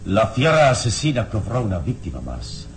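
An actor playing a calm, resolute adventurer hero in an old radio drama, grave, measured and courteous. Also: male voice. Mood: firm. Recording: noisy old radio serial with rumble.

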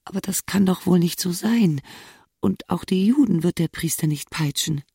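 Deep, creaky, brooding woman. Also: moderate pace, warm tone, no echo, clean studio sound. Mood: uneasy fascination. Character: cool, brooding, perceptive woman musing inwardly. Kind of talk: radio play interior monologue.

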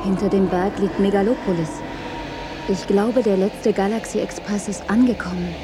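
A woman's voice: medium pitch, fairly even intonation, moderate pace, background noise, close to an everyday voice. A woman acting as a loving, protective mother in a German radio play. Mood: calm, wistful.

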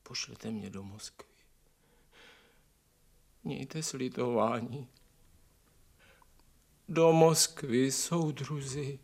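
An actor playing a traumatized, deranged man pleading in a radio drama, breaking between whispers and shrill wails. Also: fairly even intonation, pauses often, natural voice. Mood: pitiful pleading.

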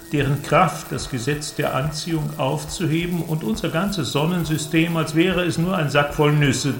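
A man's voice: a little high, creaky. An actor playing a confident inventor who presents his android creation in a radio drama. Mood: grand, testing. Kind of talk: German radio drama acting. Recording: roomy echo, music behind.

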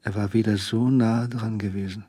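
An actor narrating a crime radio play, voicing a tense criminal's inner thoughts in a husky voice. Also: male voice, on the low side, fairly even intonation, normal speed, mellow, dry recording, close to an everyday voice. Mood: brooding.

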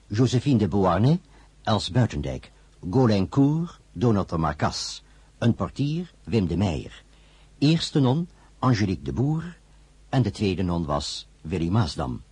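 A man reads scripted broadcast credits as an announcer in a formal, measured manner. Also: fairly low pitch, darkish tone, husky, no room sound. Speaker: announcer reading radio drama credits.

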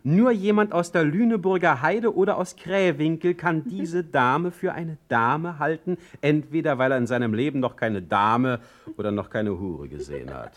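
An actor playing a witty, sardonic, ailing middle-aged poet in a radio play, speaking with eloquent, mocking irony. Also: male voice, fairly high pitch, expressive, moderate pace, lightly acted. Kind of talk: radio play acting with letter narration.

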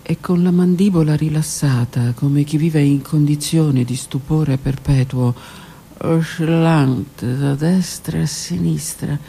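A woman reading her own literary text aloud on the radio, in a slow, intimate voice that often drops to a whisper. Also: sits low, fairly even intonation, creaky, a little hiss or hum. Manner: slow, intimate, hushed delivery with whispered words. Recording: radio broadcast with faint music.

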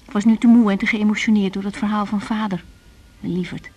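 An actress playing an earnest, weary young doctor in a radio drama, speaking in short reflective phrases. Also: female voice, mid-range voice, fairly even intonation, fast talker, a little mellow, husky, lightly acted. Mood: wistful. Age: young adult. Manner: reflective, intimate, clipped phrases. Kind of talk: radio drama acting with diary-like monologue.

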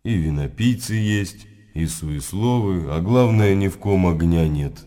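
An actor playing a stern, weary older monastery abbot in a radio drama, speaking gravely and deliberately with pauses. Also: male voice, low-pitched, warm tone. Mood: grave, disapproving.

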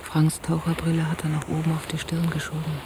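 An actress playing a troubled, soft-spoken woman in an intimate radio drama, often hushed to a near-whisper as she confides and narrates. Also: low-pitched, monotone, fairly quick, lightly acted. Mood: observing, narrating. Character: troubled, soft-spoken woman recounting an entangled love affair. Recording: hissy old cassette radio-play recording.

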